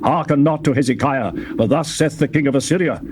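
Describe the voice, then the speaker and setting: A man's voice: on the high side, brisk, noisy background, close to an everyday voice. A man playing a boastful, menacing Assyrian envoy in a dramatized scripture recording, speaking in taunting, scornful oratory. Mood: proclaiming.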